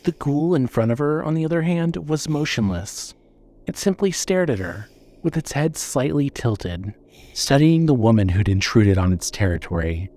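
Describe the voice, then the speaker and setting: Male horror audiobook narrator, dramatic and suspenseful, with a widely moving, expressive pitch. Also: normal speed. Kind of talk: scripted horror fiction narration.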